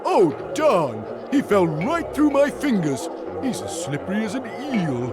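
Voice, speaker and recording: A man's voice: high-pitched, sing-song, measured pace, over music. A man voicing a big, naive, simple-hearted strongman in a cartoon game, with a childlike, guileless manner. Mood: innocent wonder. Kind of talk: animated cartoon game voice acting.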